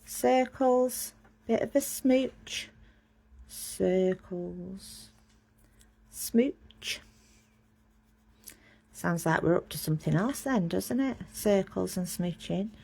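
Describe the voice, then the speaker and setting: Chatty gravelly woman teaching drawing. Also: medium pitch, extremely slow, long pauses, mellow. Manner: chatty, self-mocking, talks while drawing. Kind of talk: casual live drawing lesson.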